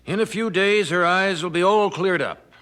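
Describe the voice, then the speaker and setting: An actor playing a reassuring, overconfident small-town physician in an old-fashioned radio drama, soothing with small hesitant hums. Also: male voice, high-pitched, normal speed, fairly bright tone.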